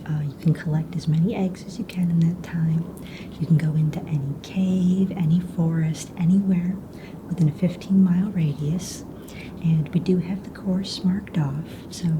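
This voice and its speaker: Low whispering ASMR woman. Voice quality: breathy whisper. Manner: casual, hesitant, matter-of-fact explaining. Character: casual, unbothered fantasy mistress of ceremonies. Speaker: ASMR roleplayer playing a dragon egg hunt mistress of ceremonies. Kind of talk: soft-spoken whispered ASMR roleplay. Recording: clear low rumbling background noise.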